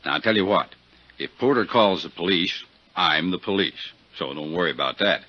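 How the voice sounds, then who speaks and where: An actor playing a folksy, easygoing older small-town Western sheriff in a 1950s radio drama. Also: male voice, low-pitched, animated, moderate pace. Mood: wry, easygoing. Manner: folksy, drawling out hesitations. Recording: dull 1950s radio recording.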